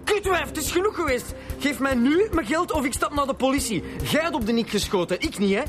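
An actor with a Flemish accent playing a crude, pushy young blackmailer in a radio drama, with coarse, slangy speech. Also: male voice, extremely high pitch, expressive, brisk, music in the background. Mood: aggressive, threatening.

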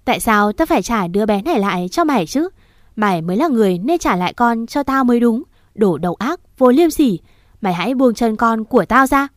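A woman voicing a desperate young mother who pleads through tears and shouts in fury. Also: a little high, expressive, moderate pace, no echo, clean studio sound, natural voice. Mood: furious shouting. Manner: sobbing pleas turning to shouted fury.

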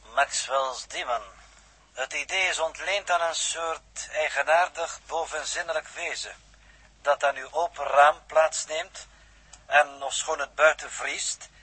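Husky, formal renegade scientist. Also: male voice, a little high, a little slow, bright, forceful tone, full character voice, low-quality recording. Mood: lecturing. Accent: Netherlands Dutch. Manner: pedantic, elaborately polite, precise. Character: formal, mysterious, erudite male renegade scientist. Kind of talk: 1960s Dutch radio drama acting. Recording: 1960s radio drama recording.

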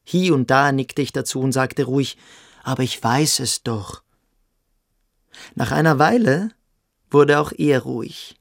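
An actor playing a smug, quietly cruel young boarding-school pupil, telling his story theatrically in a radio play. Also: mid-range voice, measured pace, vocal fry, long pauses, dry recording, clean studio sound. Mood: mocking. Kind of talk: dramatic first-person storytelling in a radio play.

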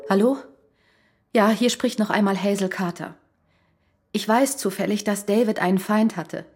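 A defiant, strained wife in a radio thriller. Her voice is husky and of middling pitch, and she answers bluntly and curtly. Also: moderate pace, darkish tone. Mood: agitated. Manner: blunt, curt. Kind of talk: radio crime drama acting.

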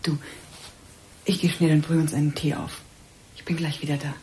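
Woman playing worried considerate lady in radio drama, low voice, faint noise behind. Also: flat delivery, moderate pace, lightly acted. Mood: troubled. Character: anxious, considerate woman smoothing things over, hostess.

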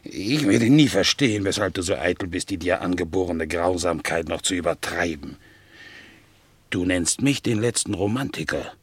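Deep, husky bulldog. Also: male voice, animated, normal speed, dry recording. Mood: uneasy, reproachful. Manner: lyrical, theatrical, dramatic swells and hushes. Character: devoted, romantic, anxious male bulldog. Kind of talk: 1960s German radio play acting.

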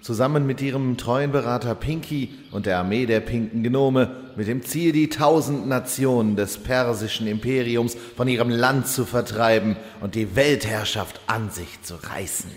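A man's voice: medium pitch, moderate pace, hardly any pauses, natural voice. A man playing a pompous, solemn storyteller, narrating a mock fantasy epic from a script. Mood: solemn, grandiose. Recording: long reverb, faint music behind.

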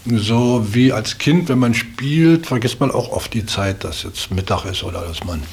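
A middle-aged man reflecting in unscripted talk as an interviewee, halting and repeating words as he searches for phrasing. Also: medium pitch, creaky, darkish tone, slightly rough.

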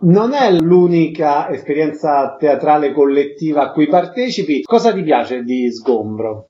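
A male radio host with a fairly high voice. He puts prepared questions and announcements to listeners and guests in an orderly interview style. The audio is a little dull and lo-fi. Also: animated, a little slow, warm tone. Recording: slightly dull lo-fi radio sound.

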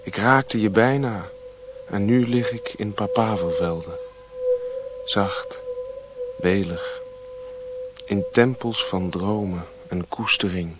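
An actor playing a world-weary wandering man in a radio drama, giving a measured, poetic and reflective monologue. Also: fairly low pitch, noisy background. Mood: dreamy, longing.

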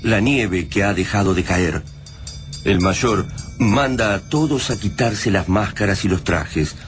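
A low-voiced Argentine man narrates a radio drama. His delivery is solemn and literary, with music clearly playing underneath. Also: unhurried.

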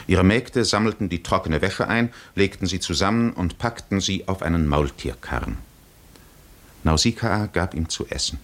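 An actor as the solemn storyteller of a 1950s radio drama, narrating a literary tale in a measured, deliberate way. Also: male voice, low-pitched.

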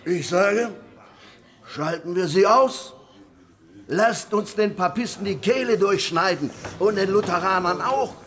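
A hot-headed outlaw rebel in a historical radio play. He speaks in a high voice, blunt and coarse, always spoiling for a fight. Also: a little slow, a bit bright. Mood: furious, inciting. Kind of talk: German historical radio drama acting.

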